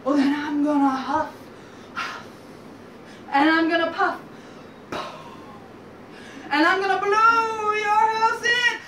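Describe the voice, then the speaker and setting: A woman voicing a frustrated, blustering big bad wolf in a comic storybook retelling, with dramatic, drawn-out threats. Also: extremely high pitch, fairly even intonation, sharp tone, voice pushed up, exaggerated voice. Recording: echoey, distant, noisy room.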